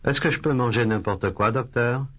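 A man voicing a patient who has a cold in a language course dialogue, speaking slowly and carefully, with repeated phrases. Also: medium pitch, close to an everyday voice. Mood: plaintive. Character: adult male patient with a cold. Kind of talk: scripted language drill dialogue. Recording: dull lo-fi course tape.

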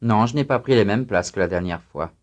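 A man reading scripted student answers and exercise announcements in a language drill, careful and even. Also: lowish voice, brisk, lo-fi sound.

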